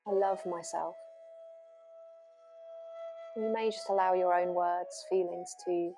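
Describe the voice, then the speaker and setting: A woman yoga nidra teacher leading a guided relaxation meditation gently and without hurry, leaving long pauses. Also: on the low side, steady tone. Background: new-age music.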